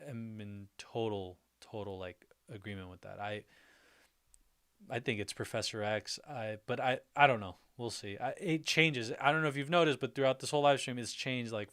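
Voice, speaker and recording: Smooth-voiced man hosting a livestream. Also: medium pitch, fairly bright tone. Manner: reads viewer comments aloud and muses on them; laughs often between remarks. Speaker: livestream host. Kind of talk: casual livestream reaction chat.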